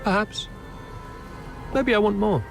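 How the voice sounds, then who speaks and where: An actor playing a scheming, smug military officer villain with a taunting, theatrical, drawn-out delivery in a game cutscene. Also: male voice, high voice, vocal fry, faint music behind, exaggerated voice. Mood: smug, menacing. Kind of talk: dramatic game cutscene acting.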